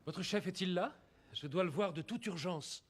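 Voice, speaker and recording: A man's voice: high voice, normal speed, a little hiss or hum, natural voice. A man voicing an earnest young reporter who is secretly a superhero in a French cartoon dub. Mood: urgent, polite.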